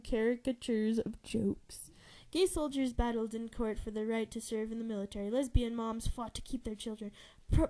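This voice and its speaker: A woman's voice: on the high side, dark tone, poor audio. A young adult woman giving an informal, giggly dramatic reading of a novel, tripping over words and correcting herself as she narrates. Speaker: amateur reader and narrator.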